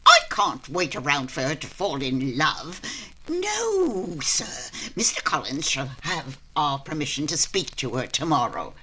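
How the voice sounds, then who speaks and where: A woman playing a bossy, excitable middle-aged mother with imperious, dramatic delivery in a period radio comedy. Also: low-pitched, measured pace, slight background noise, full character voice. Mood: scornful.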